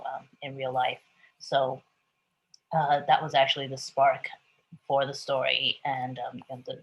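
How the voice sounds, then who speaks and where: A woman from Taiwan, a picture book author, reading her book aloud gently and then chatting about it. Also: very deep voice, muffled recording. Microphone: video call.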